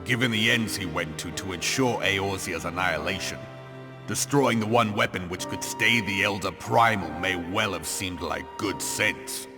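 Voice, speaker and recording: An actor playing a stern, blunt military commander in a fantasy video game, speaking gravely in formal, archaic phrasing. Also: male voice, low voice, normal speed, over music. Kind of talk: scripted video game drama.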